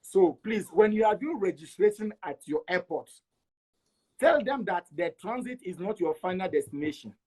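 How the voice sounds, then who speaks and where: Higher-voiced Togolese man telling his story. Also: sing-song, moderate pace, a little mellow, slightly rough. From Togo. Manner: repeats words for emphasis, acts out quoted dialogue. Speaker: interview guest. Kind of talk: animated personal storytelling.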